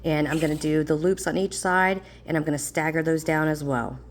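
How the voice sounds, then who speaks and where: A middle-aged woman, slightly congested, explaining a craft project step by step in a calm, plain, practical way. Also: low-pitched, fairly quick. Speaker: craft tutorial host.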